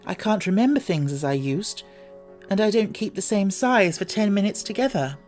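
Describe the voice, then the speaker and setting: A polite, puzzled young girl, as a man reads her, lifts her voice high and speaks earnestly. She sounds courteous but a little plaintive, with a touch of irritation beneath. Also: animated, normal speed, a little mellow, soft background music. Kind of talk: audiobook character voice.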